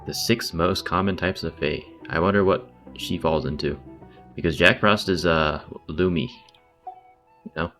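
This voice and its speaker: A man's voice: deep voice, unhurried, a bit bright, faint music behind. A man giving casual, chatty livestream game commentary, full of slang and swearing. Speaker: game streamer.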